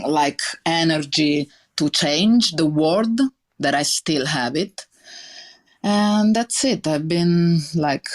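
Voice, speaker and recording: A woman in her thirties with a strong Italian accent, speaking earnestly in a podcast interview, with many fillers and restarts. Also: sits low. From Italy. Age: 30s. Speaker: chef guest.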